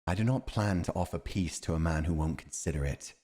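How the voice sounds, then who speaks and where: A man's voice: deep voice, a little fast, dark tone. A man acting a principled, earnest army commander advising a monarch in a fantasy audio roleplay, speaking in a formal, emphatic, righteous way. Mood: resolute.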